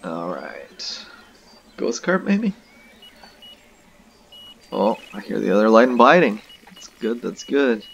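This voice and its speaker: A young man giving relaxed, casual commentary to viewers while he plays a fishing game. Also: lowish voice, slow, gravelly, long pauses, lo-fi sound, slight background noise. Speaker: gaming video host. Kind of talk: casual let's-play commentary.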